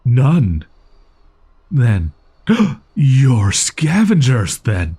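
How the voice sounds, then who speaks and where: A man's voice: mid-range voice, wildly swooping pitch, drawn-out words, warm tone, breathy edge, full character voice. A man voicing a proud, easily flustered young wolf-boy in an audio roleplay, speaking in haughty, archaic, formal phrasing. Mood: indignant disgust.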